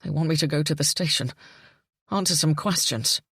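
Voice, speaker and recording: A woman reading a panicked father, giving him an urgent, shaky, lowered voice. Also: moderate pace, fairly bright tone, slightly rough, dry recording, studio quality, full character voice. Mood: shaken. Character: frantic grown man, a panicked father.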